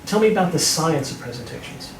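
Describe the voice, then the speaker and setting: A man's voice: a little high, lively intonation, fast talker. A man hosting an interview, asking brief, direct questions. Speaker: interviewer, host. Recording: roomy echo, mic at a distance, faint noise.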